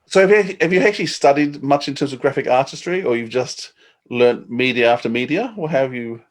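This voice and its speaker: Fast-talking Australian man hosting a podcast. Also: medium pitch. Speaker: podcast host. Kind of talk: conversational interviewing.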